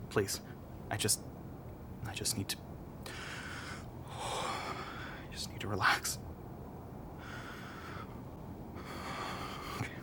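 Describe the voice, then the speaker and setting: A man playing a troubled, bitter young military telepath navigator in an audio roleplay, volatile yet apologetic, with halting, stammering delivery. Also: mid-range voice. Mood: shaken, apologetic. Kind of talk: audio roleplay acting addressed to the listener. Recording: clearly noisy background ambience.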